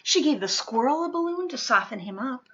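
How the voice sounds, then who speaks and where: A woman with a bright, fairly high voice reads a children's story aloud. She takes her time and stresses key words dramatically. The recording is a little dull and lo-fi. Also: expressive, fairly quick. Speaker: storybook reader. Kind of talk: expressive children's picture book read-aloud.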